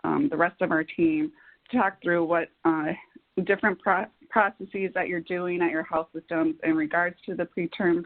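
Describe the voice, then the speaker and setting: A woman presenting slides on a conference call, speaking in halting, piecemeal phrases. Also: low-pitched, gravelly. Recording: dull, lo-fi conference call audio.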